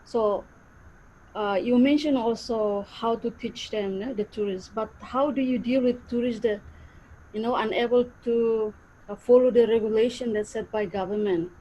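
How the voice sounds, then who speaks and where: A woman from Timor-Leste politely asks the lecturer a question. Her voice is mellow and a little high. The call audio is dull and lo-fi, with faint noise. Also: normal speed. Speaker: participant. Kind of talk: asking a question on a video call.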